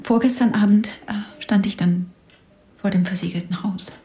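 An actress playing a polite, grieving widow in a naturalistic radio drama, speaking softly and hesitantly with some breathiness. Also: female voice, mid-range voice, fairly even intonation, normal speed, close to an everyday voice. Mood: subdued, hesitant.

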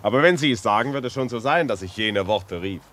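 A male actor playing a curious, easygoing journalist in a German radio play, chatty and animated in delivery. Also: on the low side, quick pace, a little hiss or hum. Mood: puzzled, defensive. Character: breezy, curious journalist, amused and reassuring.

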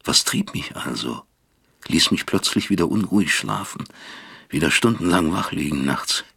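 Deep, husky, bitter professor. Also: male voice, lively intonation, sharp tone, heavily acted. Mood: brooding. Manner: deliberate, rhetorical, emphatic, sardonic. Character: bitter, brooding East German male doctor and professor. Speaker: actor. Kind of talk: German radio drama acting, dialogue and inner monologue.

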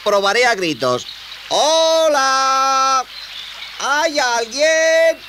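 A man voicing an eager, kindly young boy in a children's story, with an excitable, friendly manner. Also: high voice, moderate pace, slight background noise, pitched above the performer's own voice. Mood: excited, puzzled.

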